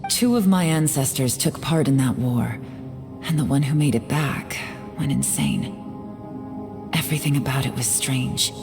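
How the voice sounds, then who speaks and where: In long, cavernous reverb over soft music, a secretive female investigator for a dramatic game. Her voice is very low, and she speaks deliberately, composed, guarded and resolute. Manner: measured, serious, deliberate delivery. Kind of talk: dramatic video game voice acting. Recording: long reverberant hall sound. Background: faint background music.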